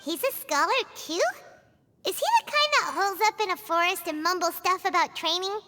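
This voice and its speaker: Squeaky, very high little fairy companion. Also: female voice, animated, normal speed, bright, forceful tone, faint music behind, heavily acted. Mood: puzzled, curious. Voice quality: squeaky, childlike. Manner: inquisitive, exclaiming. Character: small childlike floating fairy companion, curious and chirpy. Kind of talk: video game voice acting.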